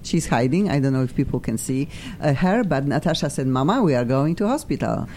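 Gushing rambly lady hosting a talk show, mellow voice, faint rumble behind. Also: fairly quick. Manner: effusive, excitable, rambling. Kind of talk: casual chat show hosting.

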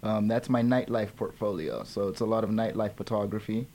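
A man's voice: fairly low pitch, fairly even intonation, brisk, mellow, a little hiss or hum. Easygoing man, light Zimbabwean tinge. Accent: light Zimbabwean tinge on American. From Seattle, family from Zimbabwe. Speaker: guest, photographer. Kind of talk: casual radio interview.

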